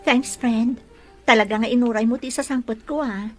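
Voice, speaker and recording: Woman acting a heartbroken, high-voiced young sweetheart. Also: fairly even intonation, normal speed, soft background music, lightly acted. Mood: grateful, sad. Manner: earnest, sorrowful, sincere. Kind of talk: Ilocano melodrama acting.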